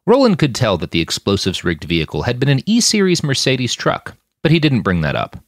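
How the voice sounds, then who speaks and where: A man's voice: mid-range voice, wildly swooping pitch, brisk, no echo, studio quality. A man reading a gritty science fiction story aloud in an audiobook style. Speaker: author reading his own fiction podcast.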